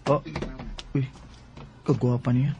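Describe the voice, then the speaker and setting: A man's voice: medium pitch, little pitch movement, slow, warm tone, muffled recording, natural voice. A man acting a smitten working man with a wandering heart in a Bisaya radio drama, with a Cebuano Filipino accent. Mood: flirtatious, bashful.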